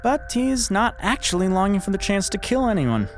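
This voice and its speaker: An actor playing a loyal, wry demolitions agent in an audio drama, matter-of-fact, with an American accent. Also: male voice, high-pitched, very animated, normal speed. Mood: dry, teasing. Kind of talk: audio drama acting, naturalistic dialogue. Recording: music behind the voice.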